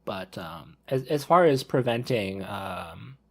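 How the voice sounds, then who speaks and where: A man's voice: fairly low pitch, expressive, takes it slowly, creaky. A thirty-year-old man talking casually on a panel discussion, rambling as he repeats and rephrases himself. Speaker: podcast panelist.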